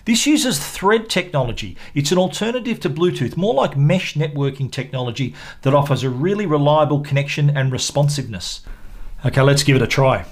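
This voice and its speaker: A man presenting a product review in a measured, explanatory way. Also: a little high, animated. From Australia. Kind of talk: product review narration to camera.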